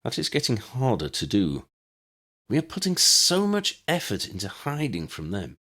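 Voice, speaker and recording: A man voicing a charismatic wizard agitator in an audiobook, making a grave, rallying speech with emphatic stresses and dramatic pauses. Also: mid-range voice, huge pitch swings, pushed and bright, heavily acted. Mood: indignant, urgent. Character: charismatic male wizard demagogue rallying a meeting, impassioned and grave.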